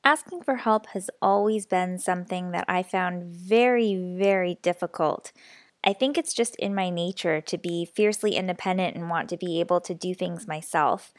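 A young adult woman hosting a personal storytelling podcast, a little raspy and stuffy from a cold, candid and chatty. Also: lowish voice, moderate pace, no room sound. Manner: candid, self-deprecating, chatty. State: slightly sick, stuffy nose. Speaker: podcast host.

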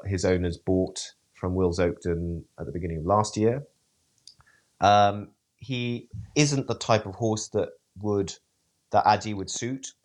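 A man's voice: sits low, darkish tone. A man answering interview questions, hesitant, with many fillers and restarts. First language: English. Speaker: event rider, interview guest. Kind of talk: podcast interview.

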